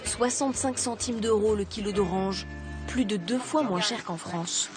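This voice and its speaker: A woman reading scripted voice-over narration for a television documentary report, in a measured, even delivery. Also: mid-range voice, over loud music.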